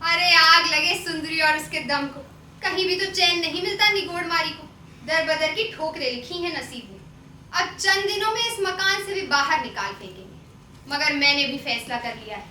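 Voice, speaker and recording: A bitter, proud courtesan acted by a woman declaims in a very high, bright voice. She scolds like someone long fed up. The stage recording sounds distant, with some noise. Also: animated, normal speed, echoey room, exaggerated voice. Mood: bitter, complaining. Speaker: stage actor. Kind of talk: Hindi stage play acting.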